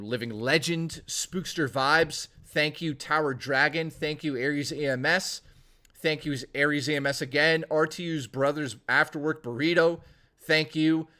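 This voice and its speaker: A man streaming, hoarse and losing his voice, rambling through a casual sign-off and thanking viewers by name. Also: on the high side, pushed and bright.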